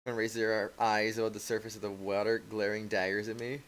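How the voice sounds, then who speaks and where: A man's voice: on the low side, little pitch movement, fast, fairly bright tone. A man streaming a game, reading visual novel narration aloud and adding his own side commentary. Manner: reads stage directions and punctuation aloud.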